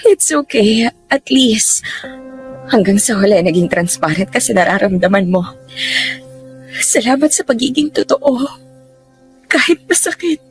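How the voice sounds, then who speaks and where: A lovelorn older girlfriend in a radio drama, gracious though easily hurt. Her pitch swings widely and expressively over a soft music bed. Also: animated, measured pace, long pauses. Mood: hurt, resigned.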